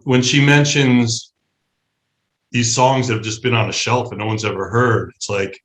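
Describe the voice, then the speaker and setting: A middle-aged American man, a musician, talking earnestly and haltingly as a guest in a casual interview. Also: medium pitch, fairly even intonation, fairly bright tone. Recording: roomy echo.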